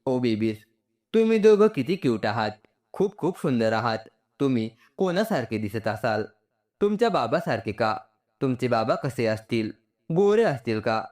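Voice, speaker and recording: A man voicing a tender, excited young pregnant woman in a story, chattering affectionately to her unborn babies. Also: medium pitch, moderate pace, natural voice. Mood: tender excitement.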